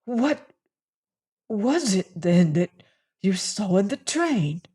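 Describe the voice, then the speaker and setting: A reader voicing a solemn, shaken man who speaks in a hushed way. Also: mid-range voice, big pitch swings, slow pace, breathy edge, distant mic, full character voice.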